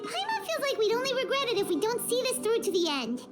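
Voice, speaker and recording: A tiny, earnest fairy sidekick from a video game. The voice is extremely high and childlike, swinging around in pitch. Clear music plays behind it. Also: female voice, big pitch swings, fast talker. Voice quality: squeaky, childlike. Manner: speaks of self in third person. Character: small childlike female fairy companion, naive and earnest.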